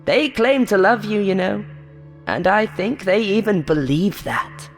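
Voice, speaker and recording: A woman voicing a monstrous game show host in a horror story, falsely friendly and hateful, with a taunting, showy, drawn-out delivery. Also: mid-range voice, expressive, in a large hall, soft background music, pitched above the performer's own voice. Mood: taunting, menacing.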